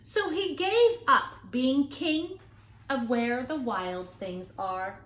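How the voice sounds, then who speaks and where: A woman's voice: high-pitched, fairly bright tone. A woman reads a picture book aloud to young children expressively, with dramatic stressed words and chatty asides. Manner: dramatic, drawn-out delivery with stressed key words. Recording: echoey, roomy sound.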